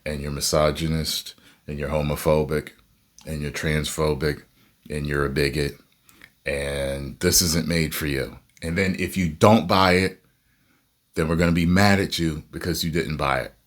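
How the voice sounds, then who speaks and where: A man's voice: a bass voice, normal speed. A man hosting a reaction video, chatting casually and laughing often between points. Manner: laughs often, animated asides. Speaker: reaction host.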